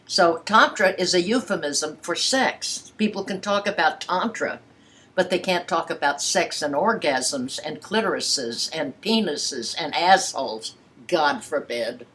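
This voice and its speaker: A woman's voice: low-pitched, expressive, unhurried, fairly bright tone. A woman in her eighties talking casually and frankly, with a blunt, playful, emphatic way of putting things. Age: 80s. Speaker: sex educator giving private sessions.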